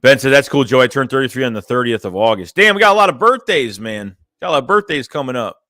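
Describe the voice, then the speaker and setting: A man's voice: mid-range voice, animated, fast talker, sharp tone, no room sound, clean studio sound. A young man hosting a casual fan livestream, reading chat messages and rambling loosely with lots of "man" and "dude". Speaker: fan channel host reading live chat.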